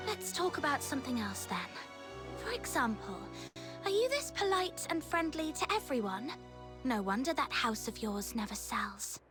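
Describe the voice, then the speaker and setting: Sassy game character, high lively voice, teasing n snippy, acts like she doesnt care. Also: normal speed, over music. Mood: sarcastic. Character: cheeky, sardonic, carefree young woman. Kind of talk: video game voice acting.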